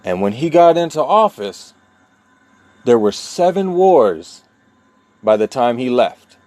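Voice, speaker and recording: A man from New York speaking a personal monologue, deliberate and emphatic, with long pauses and repeated key points. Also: mid-range voice, sing-song, darkish tone, no room sound. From New York, USA. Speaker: vlogger telling his own story.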